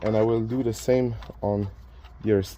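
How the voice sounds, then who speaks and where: A man's voice: on the low side, steady tone, unhurried, warm tone, lo-fi sound. A man whose first language is French, hosting a do-it-yourself car repair video and explaining each step casually while he works. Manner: plain, instructive, with frequent restarts and filler. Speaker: DIY car repair channel host. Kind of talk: casual how-to narration while working.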